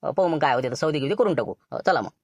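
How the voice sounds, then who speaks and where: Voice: mid-range voice, expressive, rapid-fire, background noise, voice pushed down, natural voice. A woman voicing worried village farmers in a cartoon comedy, speaking colloquial, complaining rural Vidarbha Marathi. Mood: resigned, grumbling. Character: worried Marathi village farmer men chatting about crops.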